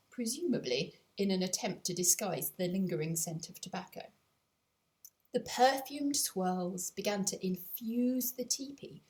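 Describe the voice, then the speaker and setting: A woman narrating a scripted storybook as an audiobook, in an expressive storytelling manner. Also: medium pitch. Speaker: author narrating her own audiobook.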